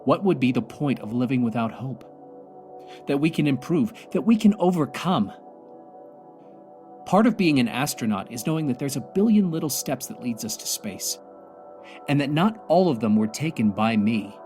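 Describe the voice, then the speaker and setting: A man playing a weary, grieving astronaut commander stranded alone, speaking an earnest, halting audio drama monologue. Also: mid-range voice, animated, darkish tone, faint music behind, natural voice. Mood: wistful, then determined.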